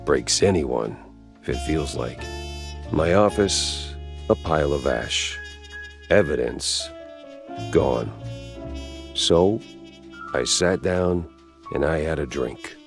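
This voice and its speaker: An actor playing a world-weary private detective and war veteran in a noir game, deadpan and sardonic. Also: male voice, very deep voice, vocal fry, over music, heavily acted. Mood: weary, brooding. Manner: deadpan, sardonic, slow drawl. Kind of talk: noir game narration and dialogue.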